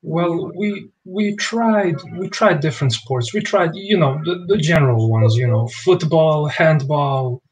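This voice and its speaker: Young bosnian guy on a video call, calm, lots of "you know". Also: a little high, unhurried, few pauses, poor audio. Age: young adult. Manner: relaxed, thoughtful, "you know" fillers. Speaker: basketball player guest. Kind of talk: interview over video call. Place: small home room.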